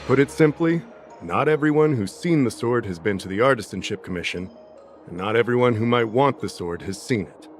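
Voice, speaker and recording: An actor playing a shrewd, insistent investigator in a video game, deliberate and logical as he reasons and questions. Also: medium pitch, animated, natural voice. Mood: accusing, insistent. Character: shrewd, insistent male investigator pressing an accusation. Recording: music behind the voice.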